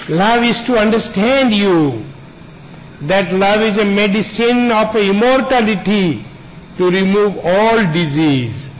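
A man with a noticeably high voice gives a spiritual teaching at a very slow, deliberate pace. He speaks earnestly, stacking up repeated lists of words. A faint hiss of background noise runs under him. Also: poor audio. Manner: unhurried, builds long repeated lists. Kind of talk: spiritual discourse to an audience.

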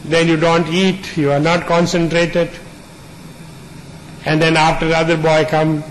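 A man's voice: fairly high pitch, little pitch movement, measured pace, a little hiss or hum, poor audio. A man teaching a spiritual discourse in an earnest, plain way, building long repeated lists and simple images.

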